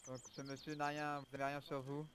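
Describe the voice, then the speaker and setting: A man's voice: on the high side, level tone, brisk, fairly bright tone, slight background noise. A man improvising as a police officer in a game roleplay, pressing people with repeated questions.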